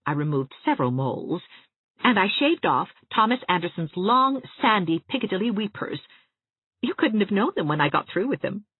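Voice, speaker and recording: A bubbly, eager young woman, as a woman reader puts her on, her voice climbing high and swinging all over as she coaxes and enthuses. The sound is thin and narrow, like a phone line, and dry. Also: normal speed, slightly rough, no echo. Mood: enthusiastic. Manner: bright, lively, persuasive. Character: cheerful, eager young woman, warm and coaxing.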